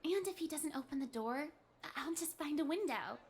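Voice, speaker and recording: Lady doing a high dreamy cartoon robot girl, obsessed, possessive and scheming. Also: animated, normal speed. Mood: lovesick scheming. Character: lovesick, obsessive, scheming female cartoon animatronic. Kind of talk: animated parody cutscene acting.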